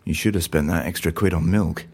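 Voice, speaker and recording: An actor playing an easygoing, practical British office colleague in a naturalistic audio drama, casual and gently teasing. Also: male voice, deep voice, fairly quick, slightly rough. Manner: casual, unfussy, reassuring.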